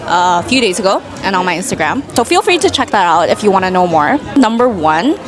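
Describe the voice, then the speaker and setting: A woman's voice: medium pitch, very animated. Young gal chatting away in a q&a, lotsa "like"s, music going behind her. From Indonesia. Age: 20s. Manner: chatty, many "like"s, quick asides. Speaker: vlogger. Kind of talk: casual Q&A vlog. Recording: music playing in the background.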